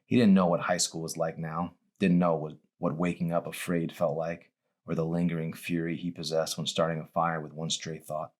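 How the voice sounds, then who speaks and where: Male audiobook narrator, low register, author reading scripted fiction. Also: level tone, moderate pace. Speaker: author reading own novel.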